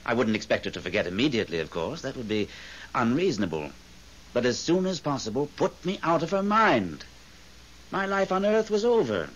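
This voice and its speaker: An actor playing a jaded, sardonic long-dead ghost in a radio drama, urbane, dry and world-weary. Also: male voice, mid-range voice, sing-song, a little fast, close to an everyday voice. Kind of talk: 1970s radio drama acting. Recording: muffled, hissy old radio recording.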